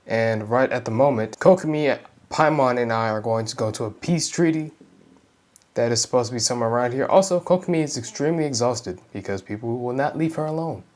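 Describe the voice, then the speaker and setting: A man's voice: mid-range voice, fairly quick. A young man giving informal, slangy let's-play commentary as a gaming YouTuber.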